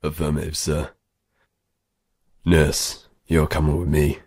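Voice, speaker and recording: A man playing a gruff, curt military commander in an audio drama, with a deep gravelly voice and terse, commanding delivery. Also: completely flat delivery, slow, darkish tone, no room sound, clean studio sound, full character voice. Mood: stern, curt. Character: gruff, curt male soldier commander named Marx.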